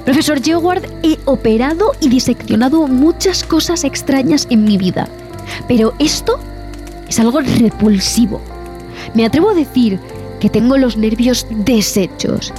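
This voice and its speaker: A shaken woman scientist recounting horrors in an audio drama. Her delivery is deliberate and theatrical, with dramatic pauses and a fearful, repulsed temper. Long reverb surrounds the voice, and music plays behind it. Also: high-pitched, lively intonation, mellow. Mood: agitated, defiant. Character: frightened, repulsed female expedition zoologist recounting horrors. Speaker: narrator and lead actress.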